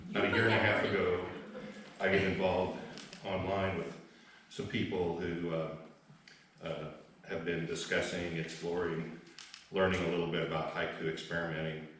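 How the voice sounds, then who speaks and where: A low-voiced man talks informally and haltingly to an audience about his poetry, in a self-deprecating way. The room is very echoey, with clear background noise. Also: a bit bright, far from the mic. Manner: hesitant, drawn-out, self-deprecating. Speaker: amateur poet introducing his work.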